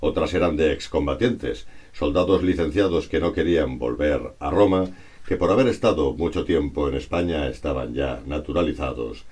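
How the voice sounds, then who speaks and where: Very deep-voiced man narrating history. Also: a little mellow. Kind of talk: scripted historical narration, read aloud. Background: music.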